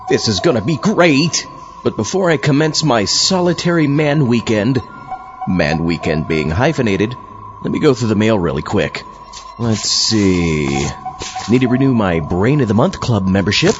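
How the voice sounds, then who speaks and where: A man's voice: fairly low pitch, sing-song, unhurried, lo-fi sound, music in the background. A man playing an easygoing zombie astronaut who hosts an old-time radio show, chatty and muttering to himself in a comedic monologue. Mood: gleeful. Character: easygoing male zombie astronaut hosting from his underground lair. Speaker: podcast host.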